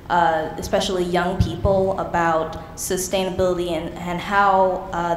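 A woman's voice: on the low side, slight background noise. An eighteen-year-old woman, earnest and eager, introducing herself on a panel with a handheld mic in a large auditorium. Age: teens. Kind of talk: panel discussion. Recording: echoey hall with long reverb.

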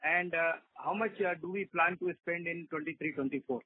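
Through a narrow telephone line comes a husky, somewhat high-voiced man with an Indian accent. He puts questions as an analyst on an earnings call, politely and haltingly. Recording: phone-like radio-sounding conference line.

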